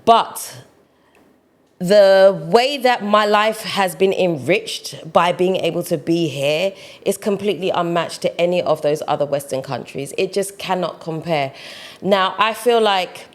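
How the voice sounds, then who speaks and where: A woman's voice: fairly low pitch, a little slow. A young woman from the UK, talking casually to camera as a vlogger, often tagging her sentences with "right?" and "you know". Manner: chatty, peppers talk with "right?" and "you know".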